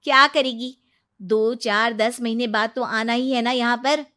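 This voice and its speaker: Woman acting chatty, high-voiced young friend. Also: fairly even intonation, a little slow, bright, forceful tone. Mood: knowing. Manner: chatty, teasing.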